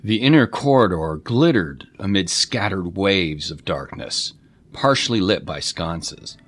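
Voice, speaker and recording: A man's voice: low-pitched, animated, measured pace, a bit bright, breathy edge. A man narrating a scripted fantasy story in a descriptive, literary style. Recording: prominent music behind.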